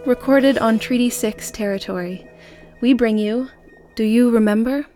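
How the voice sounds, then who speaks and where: A woman reads a scripted podcast introduction in the role of announcer. Her voice sits a little high, and theme music plays clearly behind her. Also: normal speed, dark tone.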